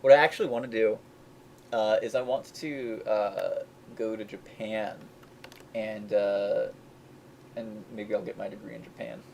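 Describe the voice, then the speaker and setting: A man's voice: lowish voice, steady tone, unhurried, gravelly, a little mellow, slight background noise. A young man, a game developer, rambling in casual chat, often restarting his sentences and laughing at himself. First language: English.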